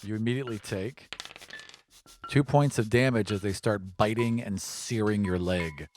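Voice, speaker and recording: A man's voice: on the low side, normal speed, darkish tone, faint music behind. A man acting as game master, narrating a tabletop fantasy game in a conversational, descriptive way and speaking directly to his players.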